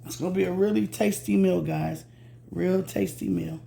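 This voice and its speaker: A woman hosting a casual home cooking vlog, chatty and repetitive, her voice slightly hoarse from a recent illness. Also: fairly low pitch, steady tone, moderate pace, warm tone, slight background noise. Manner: chatty, says "guys" constantly, repeats herself. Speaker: cooking channel host. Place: home kitchen. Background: food sizzling in a pan.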